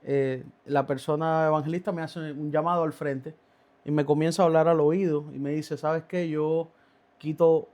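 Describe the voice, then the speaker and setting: A man's voice: on the high side, little pitch movement, darkish tone. A man, a podcast guest telling his faith story with many halts and restarts. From Cuba. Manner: halting, many restarts and fillers. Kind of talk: testimony in a podcast interview.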